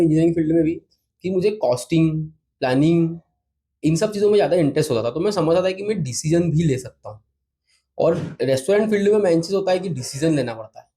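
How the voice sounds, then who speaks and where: A man's voice: on the high side, mellow. A man from India with an Indian accent, answering interview questions in a polite, explanatory, conversational way. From Indore, India. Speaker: interview guest, entrepreneur. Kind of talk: conversational interview answers mixing Hindi and English.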